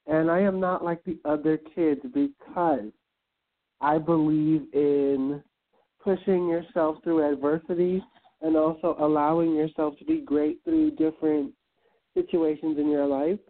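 A man's voice: a little high, a little slow. A man from Guyana, a guest on an internet radio interview, speaking in an earnest, reflective way with many ums and uhs. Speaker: guest, event planner and entrepreneur. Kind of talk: motivational interview talk. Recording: tinny phone line.

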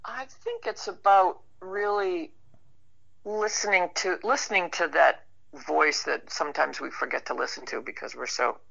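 A woman's voice: fairly low pitch, normal speed, vocal fry, a bit bright. A woman chatting casually and reflectively as an interview guest, informal and plain-spoken. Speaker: interview guest, actor and writer. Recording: dull lo-fi online-call sound.

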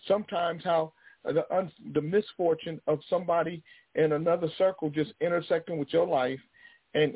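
Husky male radio host, tinny sound. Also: a little high, no echo. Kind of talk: show sign-off monologue, conversational. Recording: tinny phone-like audio, music behind.

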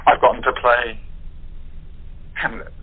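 A man's voice: medium pitch, completely flat delivery, unhurried, slight background noise. A man chatting casually over a narrow call, wry and self-deprecating with a theatrical turn of phrase. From moved from abroad to the show's country. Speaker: voice actor in an audio theatre group. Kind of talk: casual chat. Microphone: phone or internet call. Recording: muffled, narrow phone-line call.

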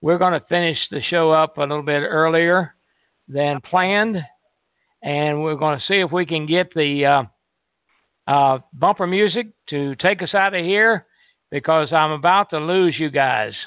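Creaky-voiced man hosting over a phone-like line. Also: a little high, measured pace, dry recording. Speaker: radio show host. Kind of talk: casual live radio chat. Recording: tinny phone-like line, music behind.

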